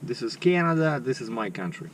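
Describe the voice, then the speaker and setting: A man's voice: mid-range voice, very animated, fairly quick. A man, chatting casually to camera and rambling with lots of fillers. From Russia. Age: 50s. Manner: rambling, conversational, with many fillers. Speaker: vlogger. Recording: slightly distant mic with faint background noise.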